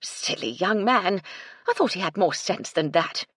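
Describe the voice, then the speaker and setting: A woman's voice: mid-range voice, sing-song, normal speed, slightly rough, no room sound, studio quality, voice pushed up. A woman voicing a stern, bossy English housekeeper in a story. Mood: scornful.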